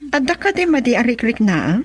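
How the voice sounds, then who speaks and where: A woman's voice: a little high, big pitch swings, quick pace, breathy edge. A woman playing a concerned, frank and bossy elder sister in a radio drama.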